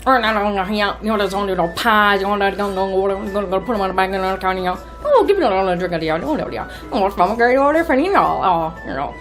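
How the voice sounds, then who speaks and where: A woman's voice: medium pitch, lively intonation, fast, few pauses, natural voice. A woman playing a flustered, scatterbrained tavern character in a fantasy radio drama, babbling and repeating herself. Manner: repetitive, muddled babbling. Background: music.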